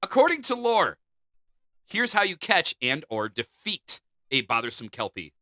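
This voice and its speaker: A man's voice: fairly high pitch, measured pace, no echo, studio quality. A man co-hosting a podcast, reading folklore aloud and joking between the passages. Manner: animated, jokey. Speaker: podcast co-host.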